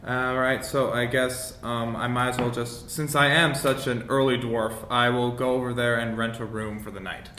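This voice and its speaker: Male game player, mid-pitched, casual conversational delivery, roomy sound with faint rumble. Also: little pitch movement, normal speed, hardly any pauses, bright, forceful tone. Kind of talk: casual chat during a tabletop game. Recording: roomy echo, low rumbling background noise.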